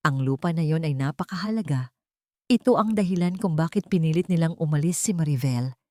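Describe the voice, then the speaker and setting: Lady doing a grave serious guy in an audio drama, lowish, dry recording. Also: brisk, a little mellow, no room sound, lightly acted. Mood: grave revelation. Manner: grave, explanatory. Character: serious man revealing a grave family secret.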